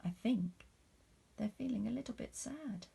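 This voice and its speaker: Woman reading a storybook, slightly husky. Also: fairly high pitch, moderate pace. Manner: animated, putting questions to young listeners. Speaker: teacher reading a picture book to children. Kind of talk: expressive storybook read-aloud.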